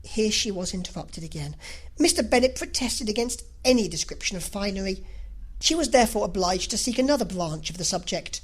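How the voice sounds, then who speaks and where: A woman reading a literary novel aloud as an audiobook narrator, in an expressive, scripted style. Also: medium pitch, brisk, breathy edge.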